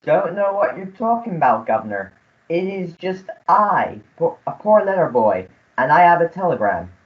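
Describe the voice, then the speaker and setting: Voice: mid-range voice, big pitch swings, moderate pace, natural voice. A performer playing a cheeky, shifty servant disguised as a humble letter boy, with servile, ungrammatical speech in a melodramatic radio play. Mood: feigned innocence. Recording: muffled, phone-like school radio play recording.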